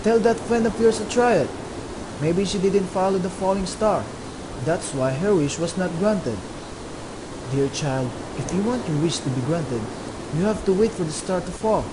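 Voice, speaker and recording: A man playing a loving father in a student radio drama, gentle with his child and heated in arguments with his wife. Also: sits high, normal speed, lightly acted. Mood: tender. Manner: soft, tender storytelling to his child. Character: loving father, middle-aged man, gentle then angry husband. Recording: noisy amateur recording with hiss.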